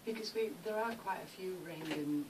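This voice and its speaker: Low-pitched woman interviewer, noisy distant recording. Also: fairly quick. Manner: questioning, often ends statements with tag questions. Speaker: radio interviewer. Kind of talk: conversational radio interview. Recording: distant mic, clearly noisy background.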